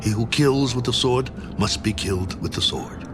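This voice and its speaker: A man narrating scripture as a drama, solemnly and with deliberate phrasing, over loud music. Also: medium pitch.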